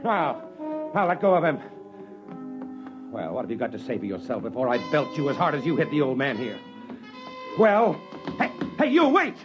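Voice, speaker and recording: A man's voice: mid-range voice, very animated, normal speed, long pauses, lightly acted. An actor playing a hard-boiled, opportunistic journalist and family man in a 1950s radio drama, with tough, slangy delivery. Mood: angry shouting. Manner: tough, slangy, wry storytelling. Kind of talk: 1950s radio drama acting, first-person narration and dialogue. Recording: old dull radio recording, music behind.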